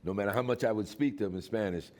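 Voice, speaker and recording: Brief: middle-aged man from Cuba, deep low voice, lively storytelling panelist with a Cuban accent. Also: rapid-fire. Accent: Boston Cuban. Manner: animated storyteller, playful. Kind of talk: casual panel chat.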